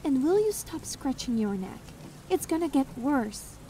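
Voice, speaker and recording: Woman acting a high-pitched, exasperated wife. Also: big pitch swings, unhurried, mellow. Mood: insistent. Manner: scolding, insistent. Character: tired, exasperated pregnant wife in her thirties. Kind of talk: audio drama acting. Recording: slightly distant mic, faint rain noise.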